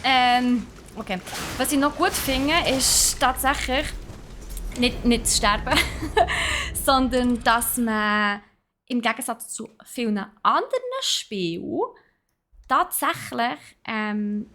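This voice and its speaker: A woman's voice: on the high side, takes it slowly, bright, forceful tone, slight background noise. Chatty Swiss woman streaming a game. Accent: Swiss German. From Switzerland. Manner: chatty, self-mocking, mixes in English exclamations. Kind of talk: live gameplay commentary.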